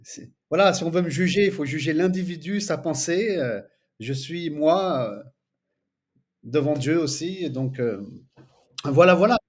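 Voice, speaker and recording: A man in his forties from France, a teacher telling his story as a guest in a relaxed interview, pausing often with fillers. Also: a little high. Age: 40s. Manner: hesitant, frequent pauses and fillers. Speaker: guest, Islamic studies teacher. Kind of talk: casual interview chat.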